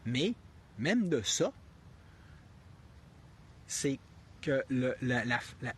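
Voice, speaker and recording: A Québécois man talks off the cuff on a live stream, circling his point with restarts and fillers. His voice sits at an ordinary middle pitch for a man, and he grows earnest and pointed when he asks himself questions. A faint hum of room ambience hangs behind him. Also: big pitch swings, very slow. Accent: Québécois French. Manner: rambling, thinking aloud with many restarts and fillers; earnest, with bursts of emphatic rhetorical questions. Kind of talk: unscripted live commentary.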